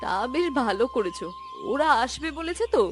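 Cartoon village wife and mother female actor: high-pitched, animated, homely, mildly scolding, over a music bed. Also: a little fast. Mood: homely, attentive. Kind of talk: cartoon story dialogue.

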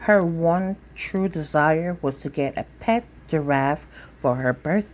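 A woman reading a children's picture book aloud in a deliberate, expressive storytelling manner. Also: low-pitched. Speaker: storytime reader.

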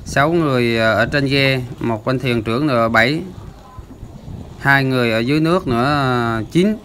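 A man's voice: mid-range voice, normal speed, a bit bright, slight background noise. A man hosting a fishing channel, explaining the footage in a chatty voice-over with lots of filler sounds. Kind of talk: casual voice-over commentary.

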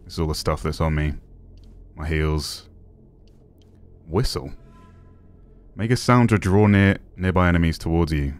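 A young man with a deep voice giving casual gameplay commentary as a streamer, relaxed with excited asides. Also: unhurried, pauses often. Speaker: gaming streamer.

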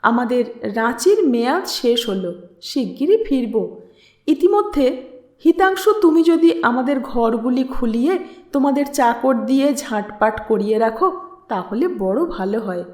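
A woman voicing a kindly, gentle middle-aged mother in an audio story, measured and affectionate. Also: fairly high pitch, expressive. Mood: warm, composed. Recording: echoey room.

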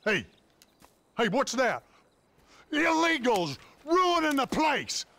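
An actor playing a dim, overzealous American militia leader in a video game, blustering and pompous. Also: male voice, very high voice, very animated, slow, vocal fry, bright, forceful tone, full character voice. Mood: urgent. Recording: faint outdoor background noise.

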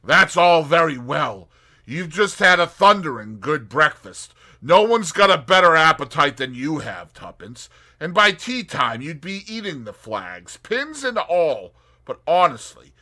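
A man's voice: a little high, sing-song, unhurried, pushed and bright, voice pushed up, heavily acted. A man playing a cheerful teasing young man. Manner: bantering, mock-pompous. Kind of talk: audiobook character reading.

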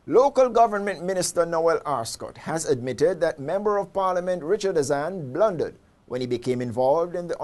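A man in his forties with a Jamaican accent, presenting a scripted news report in a formal, measured newsreader delivery on a lapel mic in a studio. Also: a little high, a little mellow. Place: indoor studio.

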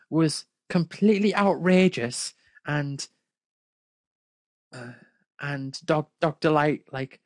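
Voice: medium pitch, a little mellow, no room sound. A person reading fan fiction aloud casually, with hesitant, dry critical asides. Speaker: fanfic reader and commentator.

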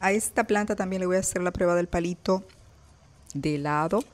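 A woman with a low-pitched voice talks through a casual gardening vlog. She speaks to her viewers as she films her plants close-up on a phone outdoors on a balcony, pointing things out one by one. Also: fairly quick. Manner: chatty, addresses viewers directly, points things out. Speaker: gardening vlogger.

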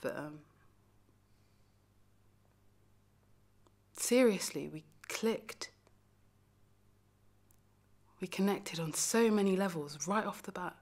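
A woman performing a dramatic monologue as a lonely, heartbroken young lover, confessional and emphatic, moving between hushed and forceful delivery. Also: lowish voice. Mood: wistful, intense. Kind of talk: dramatic stage monologue, self-taped acting showreel.

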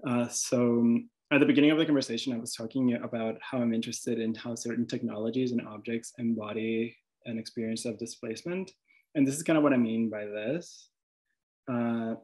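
A man's voice: medium pitch, darkish tone, poor audio. A man from Venezuela with a Venezuelan accent, an artist presenting his work over slides, hesitant, with many restarts and fillers.

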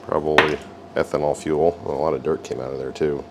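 A man's voice: rumbling low, a little fast, noisy background. A man hosting a repair video, narrating casually and wryly as he works at a garage bench. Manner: relaxed, wry, talks while working.

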